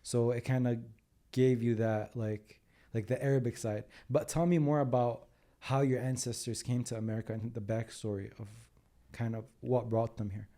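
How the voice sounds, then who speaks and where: A man's voice: medium pitch, fairly even intonation, normal speed, mellow. A man hosting an interview, asking questions hesitantly with fillers like "like" and "um". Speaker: podcast host.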